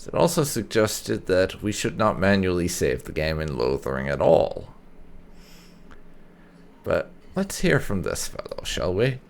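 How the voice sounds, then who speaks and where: A man hosting a casual let's play with light roleplay, explaining things in a wordy, measured way. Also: low-pitched. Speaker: gaming YouTuber, let's play host.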